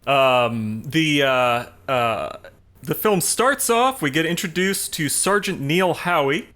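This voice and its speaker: A man co-hosting a casual film discussion, explaining things in a halting, rambling way. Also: on the high side, animated, sharp tone. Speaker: podcast co-host giving a film rundown.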